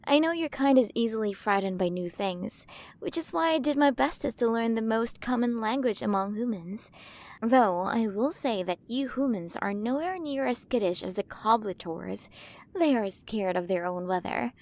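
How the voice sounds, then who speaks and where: A towering alien queen, acted by a woman, speaks directly to the listener in an ASMR roleplay. Her voice is on the high side, and she coos with doting fascination. She treats humans as small, curious treasures that belong to her. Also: expressive, normal speed, darkish tone. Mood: soothing. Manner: cooing, fascinated, quaintly mispronouncing human words.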